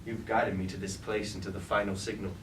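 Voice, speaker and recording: Man playing a deep, grandiose robot warlord, distantly recorded. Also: flat delivery, fairly quick, natural voice. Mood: solemn, resolved. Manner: declamatory, archaic formal speech. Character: fallen robot warlord, grandiose, commanding, brooding and resolute. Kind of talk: staged reading of mock Greek tragedy. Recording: roomy, distant mic, clear background noise.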